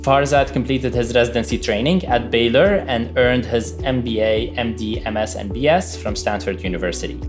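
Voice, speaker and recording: Male podcast host over clear music. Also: medium pitch, normal speed, few pauses. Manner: reads a formal bio, then speaks with filler words. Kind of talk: scripted guest introduction. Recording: music bed under voice.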